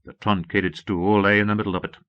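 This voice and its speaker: A man's voice: fairly low pitch, little pitch movement, fairly quick, smooth, no echo. A man reading a novel aloud as a scripted first-person audiobook narration. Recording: muffled old cassette audiobook.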